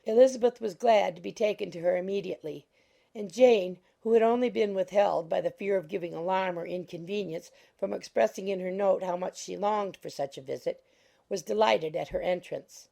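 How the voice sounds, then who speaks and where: A woman reads scripted literary narration for an audiobook. Her voice is mellow and medium in pitch, with noticeable creak. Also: brisk.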